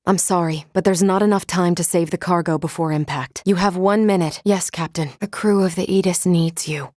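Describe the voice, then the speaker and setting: A woman acts out a female android crew officer for a video game. She is composed and selfless, with a slightly low voice and exact, clinical phrasing. Every order and report comes out measured and formal. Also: steady tone, darkish tone, dry recording, clean studio sound, close to an everyday voice. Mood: calm, dutiful.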